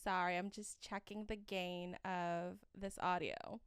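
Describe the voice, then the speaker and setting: A young woman hosting a casual solo podcast from the corner of her bedroom, with a shrill voice, rambling and self-mocking between fillers, sleepy. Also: level tone, measured pace, smooth, no echo, clean studio sound. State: sleep-deprived. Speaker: podcast host.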